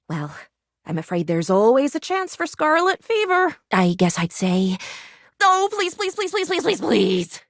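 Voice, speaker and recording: A woman's voice: high voice, a bit bright, dry recording, studio quality. A woman playing a perky, eccentric, gleefully morbid lady doctor in a video game, with gushing, old-fashioned, melodramatic phrasing. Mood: coaxing, playful. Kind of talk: animated video game character acting.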